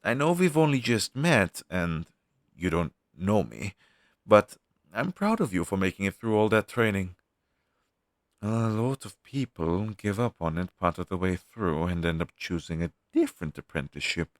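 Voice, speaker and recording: A man's voice: deep voice, very animated, no echo, clean studio sound. A man playing a down-on-his-luck, friendly crow demon, once a witch's familiar, talking hesitantly to the listener in an audio roleplay. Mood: warm, encouraging. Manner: conversational, hesitant, with sighs and pauses.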